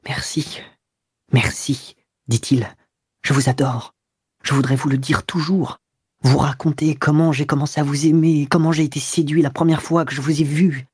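A man voicing an ardent young man who pleads his love in low, fervent tones. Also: fairly even intonation, normal speed, darkish tone, breathy edge, no room sound. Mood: pleading.

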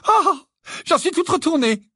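A man's voice: high voice, sing-song, normal speed, fairly bright tone, dry recording, studio quality, pitched above the performer's own voice, heavily acted. A man reading an audiobook voices a doting, fussing middle-aged mother witch in a gushing, affectionate way.

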